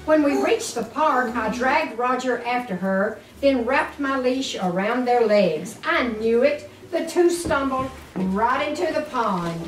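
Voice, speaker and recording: An older woman reads a children's story aloud in a highish, very animated voice, taking her time over each phrase. She turns aside to speak fondly to young listeners. The recording is echoey and distant, with music clearly playing underneath. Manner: expressive, deliberate, doting toward the children.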